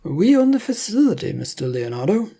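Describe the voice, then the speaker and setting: A narrator voicing a composed male secret agency boss in an adventure story, speaking politely and formally in measured phrases. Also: medium pitch, big pitch swings, vocal fry, warm tone, husky, pitched above the performer's own voice, full character voice. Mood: reassuring.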